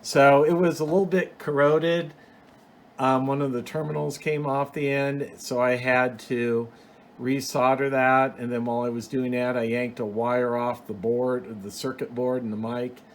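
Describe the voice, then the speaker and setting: A man's voice: medium pitch, level tone, unhurried. A man giving a casual, unscripted show-and-tell as a hobbyist host, explaining things in a rambling, plain-spoken way. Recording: clear background noise.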